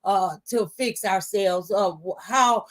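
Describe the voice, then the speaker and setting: A woman's voice: mid-range voice, slow. A woman radio host talking conversationally in a preacherly, testifying way, offering faith-based encouragement. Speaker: radio talk show host.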